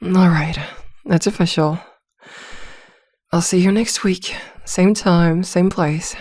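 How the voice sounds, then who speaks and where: Woman playing soft, mellow, courteous therapist. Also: lowish voice, steady tone, slow pace, no room sound, studio quality. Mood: polite, reassuring. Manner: soft, courteous, mildly hesitant. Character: polite female therapist, gently professional, feigning innocence. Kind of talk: ASMR roleplay acting.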